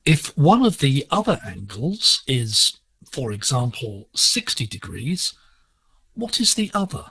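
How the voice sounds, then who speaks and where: A man presenting a scripted radio lesson in a measured, instructive way, heard over a digital shortwave broadcast. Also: mid-range voice, very animated, vocal fry, pushed and bright, breathy edge, poor audio. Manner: measured, didactic.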